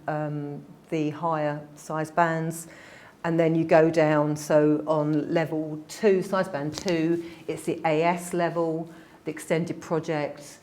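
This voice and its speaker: Woman, admissions head, a bit croaky, quick informal presenter, lower pitch. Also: darkish tone. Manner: quick-talking, informal, with fillers. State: slightly croaky voice. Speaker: head of admissions giving a briefing. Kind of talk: presentation to a group.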